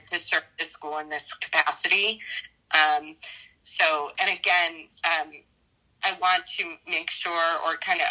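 A middle-aged American woman giving reflective interview answers as an educator, haltingly, often repeating and correcting herself. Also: sits low, pushed and bright, low-quality recording. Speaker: math teacher and school dean.

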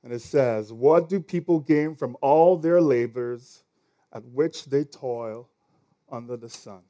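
A man's voice: medium pitch, takes it slowly, dark tone. A man with a Nigerian accent preaching a sermon as pastor, conversational and now and then stumbling over words.

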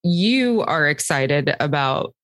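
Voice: medium pitch, animated, takes it slowly, a bit bright, no room sound, studio quality. A podcast host interviewing a guest in a friendly, easygoing way and reading out event details. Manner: friendly, laughs easily, reads event details.

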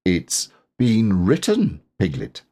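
A gentle, earnest bear performed by a man reading a storybook. He speaks slowly and a little shyly, pausing to think. The voice lilts up and down and has a slightly husky grain. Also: on the low side, wildly swooping pitch, heavily acted. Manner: slow, thoughtful, slightly hesitant.

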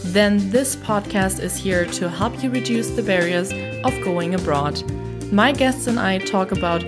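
A woman's voice: medium pitch, measured pace. Woman podcast host in a roomy space. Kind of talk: casual interview chat. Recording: roomy echo, faint music.

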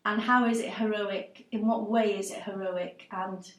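A woman's voice: medium pitch, fairly even intonation, normal speed, roomy sound, recorded from a distance. A woman storyteller from Cardiff, answering interview questions thoughtfully and hesitantly, with many restarts. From Wales, Cardiff.

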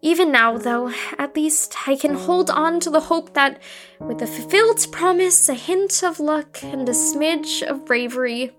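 A high-pitched, troubled patient acted by a woman delivers a confessional monologue in an audio drama. Prominent piano music plays beneath the voice. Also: unhurried. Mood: somber, reflective. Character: troubled patient recounting childhood torment by inner voices. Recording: loud piano music behind the voice.

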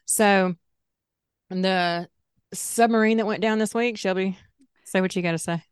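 A middle-aged woman co-hosting a podcast in casual chat, chatty and quick to laugh, saying y'all. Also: medium pitch, steady tone, clean tone, no room sound, studio quality. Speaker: podcast co-host.

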